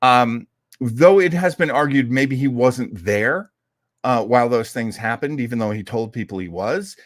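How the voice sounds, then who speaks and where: A man's voice: medium pitch, no echo, studio quality. A man hosting an old-time radio program, giving casual commentary in a chatty, folksy, opinionated way.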